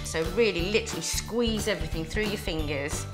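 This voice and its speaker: A woman's voice: fairly low pitch, fairly quick. A British woman chatting in a friendly, encouraging way as she cooks and hosts in a kitchen. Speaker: cook and host. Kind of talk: casual cooking chat. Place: home-style kitchen, indoors. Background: music, sizzling, pans and dishes.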